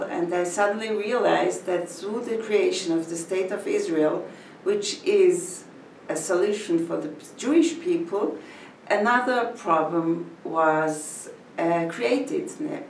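Older woman, gravelly and roomy. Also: lowish voice, a little mellow, slight background noise. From Switzerland. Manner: measured, phrase by phrase, with pauses. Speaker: community educator. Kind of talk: talking to camera about her village. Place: indoor room in front of a painted mural. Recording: echoey room, mic at a distance.

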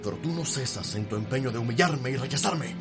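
An actor with a Cuban accent playing an arrogant, possessive husband in a radio soap opera, haughty and dramatic. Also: male voice, medium pitch, lively intonation, a little fast, lightly acted. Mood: aggrieved, demanding. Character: arrogant, possessive, abusive upper-class husband. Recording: loud music behind the voice.

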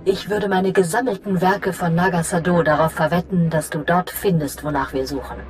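A woman playing a scheming, imperious female Sith lord in a German game dub, commanding and deliberate. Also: lowish voice, fairly even intonation, soft background music, lightly acted. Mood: calculating, commanding.

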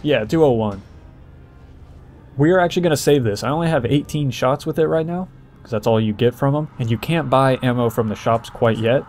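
A man's voice: medium pitch, a little fast, a little mellow, soft background music. A man doing casual, explanatory gameplay walkthrough commentary as the host, talking informally to viewers.

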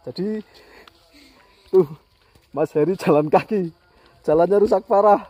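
A man's voice: high-pitched, measured pace, warm tone, muffled recording. A man narrating a motorbike trip vlog in an easygoing, casual way, recorded outdoors on a rural road. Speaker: motovlogger. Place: outdoors on rural mountain roads by tea fields.

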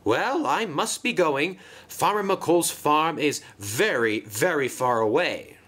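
A man voicing a chatty, naive, excitable female engine in a children's train story, gushing and easily flustered. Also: on the high side, slow pace, breathy edge, voice pushed up, heavily acted.

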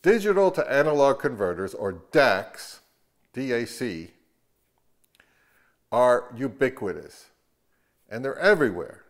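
Middle aged guy chatting to camera in his living room, medium pitch, kinda rambly and unsure. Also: big pitch swings, a little slow, pauses often. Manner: hesitant, rambling, self-deprecating. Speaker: video host. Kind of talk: casual unscripted talk to camera. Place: open living room at home, indoors.

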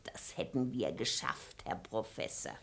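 A woman's voice: very deep voice, sing-song, unhurried, creaky, a bit bright, slightly rough, heavily acted. An actress playing a stern, sardonic maidservant in a 1950s radio play, commanding and motherly, speaking with deliberation. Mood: soothing, practical. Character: stern, bossy maidservant, scolding yet motherly and complicit. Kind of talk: 1950s German-language radio play acting.

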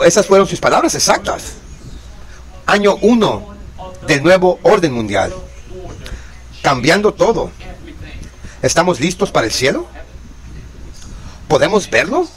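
A man in his thirties preaching and commenting on news, emphatic, repeating key words and asking rhetorical questions, recorded indoors facing a camera. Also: on the high side. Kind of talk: religious commentary reading news. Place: indoor room with pale wall. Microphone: fixed camera, mid shot.

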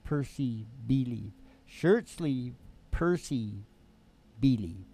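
A man's voice: medium pitch, expressive, extremely slow, dark tone. An older man hosting in a casual, rambling motivational style with a folksy, teasing manner, speaking into a stage microphone. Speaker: podcast host and musician. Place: small curtained stage studio. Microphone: stand-mounted vocal microphone. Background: acoustic guitar.

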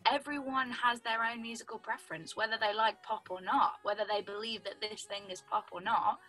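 A young British woman with a husky voice, a songwriter guest chatting casually over a video call from home. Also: fairly high pitch, fairly even intonation, fairly quick, sharp tone, low-quality recording. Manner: chatty, explains with lots of "like" and "do you know what I mean". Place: home room indoors. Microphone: video call webcam.

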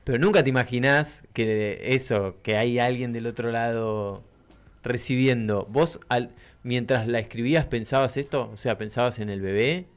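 A man's voice: mid-range voice, moderate pace, clear, resonant tone. A man in his thirties with a Buenos Aires Argentine accent, hosting a casual, chatty radio interview on a close studio microphone. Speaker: radio host.

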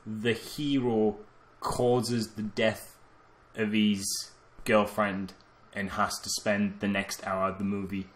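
A man co-hosting a film podcast, arguing his opinions casually in a halting, repetitive way. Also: lowish voice, level tone, poor audio. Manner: halting, repeating phrases as he argues opinions. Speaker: podcast co-host. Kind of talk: casual film critique chat.

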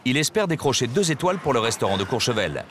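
A man reading scripted documentary narration in a measured storytelling way. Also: mid-range voice, very animated, fairly bright tone, slight background noise.

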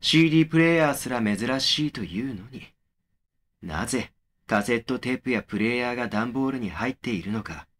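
A man playing a young man who narrates his eerie memories in a measured, matter-of-fact way for a horror audio drama. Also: medium pitch, expressive, fairly bright tone. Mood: brooding, matter-of-fact.

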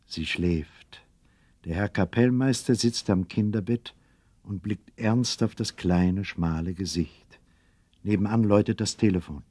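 A man playing the earnest narrator of a children's radio play, telling the story in a measured, literary way. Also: lowish voice, warm tone, slightly rough, dry recording.

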